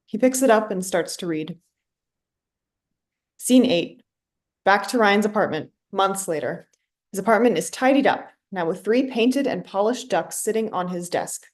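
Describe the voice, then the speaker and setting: Woman reading stage directions, mid-pitched. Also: expressive, a little slow. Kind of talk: scripted narration.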